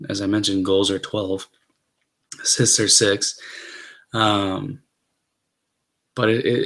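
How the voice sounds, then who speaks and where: A man in his thirties, a little hoarse from being sick, giving a casual solo fantasy football rundown into a webcam in a small room, with lots of fillers and throat clearing. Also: fairly low pitch, fairly bright tone. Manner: rambling with many fillers and restarts. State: slightly hoarse from illness. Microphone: webcam at desk.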